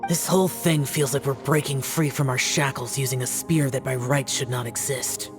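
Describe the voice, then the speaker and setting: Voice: mid-range voice, fairly even intonation, normal speed, music in the background, close to an everyday voice. A performer playing a stoic, brooding, youthful male immortal warrior in a video game, terse and solemn.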